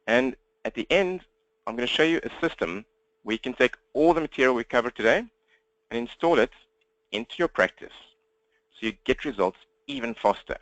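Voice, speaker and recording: South African male trainer, rapid direct patter, mid pitch, dry room. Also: big pitch swings, fairly bright tone, no room sound, poor audio. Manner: fast-talking, upbeat and direct. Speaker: webinar trainer. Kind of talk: live webinar presentation. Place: at home desk speaking to laptop. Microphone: laptop.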